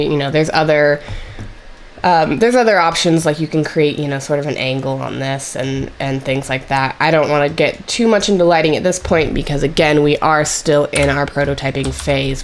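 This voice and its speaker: A woman with a notably low voice, still getting over a cold, talks through a software tutorial as the instructor. She explains step by step in a measured way, often pausing on "uh" and "basically". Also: a little hiss or hum. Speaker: course instructor. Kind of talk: screen-recorded software tutorial.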